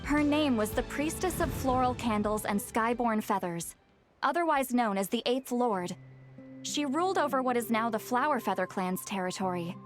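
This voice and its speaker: A proud, sharp-tongued young shaman, voiced by a woman for a video game. She talks in a wry, slightly haughty lecturing tone with a fairly high voice, over clear game music. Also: expressive, normal speed, natural voice. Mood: explaining. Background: game soundtrack music.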